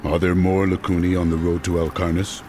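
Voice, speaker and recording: A man's voice: sits low, little pitch movement, brisk, warm tone, lightly acted. An actor voicing a stern male hunter who speaks tersely and coldly, in game audio with music behind. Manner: terse, cold and determined. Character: grim male demon hunter, stern and resolute.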